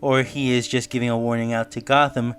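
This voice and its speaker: A man's voice: medium pitch, little pitch movement, brisk, a bit bright. A man giving explanatory, musing commentary in the style of a semi-scripted video essay review. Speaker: online reviewer and theorist. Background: background music.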